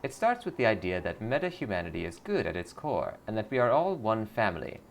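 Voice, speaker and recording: A man's voice: fairly low pitch, very animated, fairly quick, vocal fry, slight background noise. A man voicing a blissful, eager cult greeter in a video game, sweetly pious and salesy. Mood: serene, eager.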